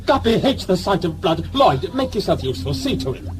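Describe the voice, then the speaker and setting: Man playing a peevish, high-pitched, swooping ship's governor. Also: big pitch swings, fairly quick, background noise. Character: fussy, irritable, self-serving prison-ship governor. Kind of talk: sci-fi audio drama acting.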